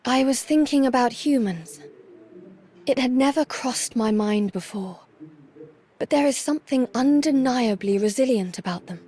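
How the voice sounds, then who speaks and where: An actress playing a solemn, aloof Valkyrie warrior in a video game, speaking in a formal, measured, reserved way. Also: female voice, fairly high pitch, dark tone, natural voice. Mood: pensive. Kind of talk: video game cutscene acting.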